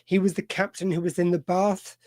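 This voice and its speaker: Rambling man with a fairly high voice. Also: flat delivery, darkish tone, no echo, clean studio sound. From United Kingdom. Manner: rambling, halting, many fillers and restarts. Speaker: podcast host. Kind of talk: casual film discussion. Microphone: video call mic.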